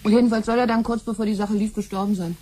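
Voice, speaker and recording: A woman's voice: medium pitch, steady tone, racing, dark tone, slightly rough, natural voice. A woman acting a sceptical, placating wife and mother in a 1970s German radio drama, with a dry, colloquial and reluctant delivery. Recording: slightly noisy 1970s radio recording with hum.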